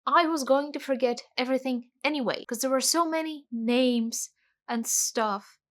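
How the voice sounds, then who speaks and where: A woman's voice: sits high, moderate pace. A woman from Bulgaria, ranting animatedly and emphatically as a casual book vlogger. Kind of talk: casual book review rant.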